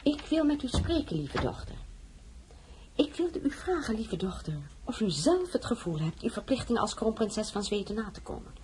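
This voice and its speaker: A haughty, formal queen in a radio drama. Her voice is dark and mellow, swooping widely in pitch as she addresses others with imperious courtly precision. Faint noise sits under the recording. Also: sing-song, normal speed, poor audio. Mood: falsely sweet, probing. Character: haughty Swedish queen, coldly formal mother-in-law. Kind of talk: dramatised radio play acting.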